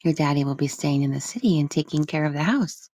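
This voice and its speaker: A woman voicing a tender, reassuring mother who talks softly and soothingly, as an audiobook character. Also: deep voice, fast, dry recording. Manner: soft, soothing. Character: tender, reassuring young mother of a small child.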